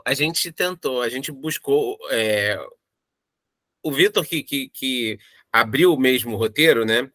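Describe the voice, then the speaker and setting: A man's voice: medium pitch, bright, forceful tone, no echo. A Brazilian man talking casually and reflectively as a creator in an interview, circling through his explanations with informal asides. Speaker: audio drama creator interviewed in a making-of.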